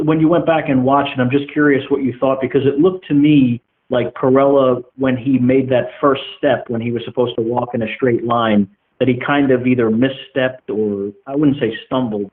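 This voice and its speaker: Male reporter on a thin phone line. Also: mid-range voice. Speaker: reporter asking questions. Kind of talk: conversational press questioning. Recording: narrow muffled telephone conference line.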